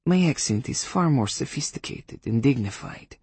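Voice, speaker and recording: A man's voice: mid-range voice, animated, normal speed, a little mellow, slightly rough, dry recording, voice pushed up. A man narrating an audiobook, voicing a haughty, sarcastic witch woman with a Polish accent. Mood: indignant. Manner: dignified, sarcastic, coolly diplomatic.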